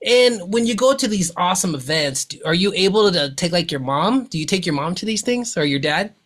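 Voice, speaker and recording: A man's voice: high-pitched, animated, brisk, fairly bright tone. A man hosting a podcast, talking with enthusiasm and slang, often restarting his sentences. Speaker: podcast host. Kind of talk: casual interview hosting.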